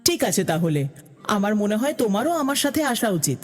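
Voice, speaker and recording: A woman voicing a proud, gentle magical unicorn in a cartoon fairy tale. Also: mid-range voice, sing-song, normal speed. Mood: calm, friendly. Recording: long reverb, music behind the voice.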